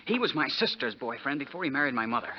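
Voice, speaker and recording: Bitter stepson male actor: urgent, lively swoops, 1940s radio drama, muffled recording. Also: mid-range voice, quick pace, natural voice. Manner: urgent, vehement. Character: bitter, hot-headed young stepson.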